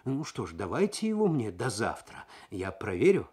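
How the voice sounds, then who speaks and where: Guy reading as a big shady dealer in a radio story, lowish voice, cagey, hums and haws. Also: huge pitch swings, normal speed, darkish tone, slightly rough, heavily acted. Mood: musing. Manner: hesitant, musing, with filler words.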